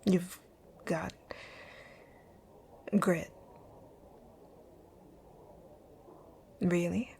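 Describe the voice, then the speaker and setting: A woman playing a rich, dominant company owner in an ASMR roleplay, speaking in a composed, commanding, breathy near-whisper. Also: on the low side, fairly even intonation, extremely slow, a little mellow, slight background noise, heavily acted. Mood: cool, guarded. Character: rich, bossy, dominant female company owner, curt yet flirtatious. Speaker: audio roleplay voice actor. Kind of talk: ASMR roleplay, scripted one-sided dialogue.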